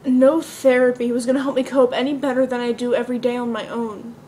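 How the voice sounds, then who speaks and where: A woman acts out a bleak, depressed young woman in a dramatic stage monologue. The voice is on the high side, earnest and confessional, over a slightly noisy amateur recording. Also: level tone, fast, dark tone, close to an everyday voice. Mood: resigned, anguished.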